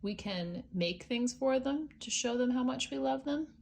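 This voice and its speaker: Slow-paced woman reading to young children. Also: sits high, expressive, creaky, darkish tone. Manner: simple explanatory asides, as to young children. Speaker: storybook reader. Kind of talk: children's picture book read-aloud with asides.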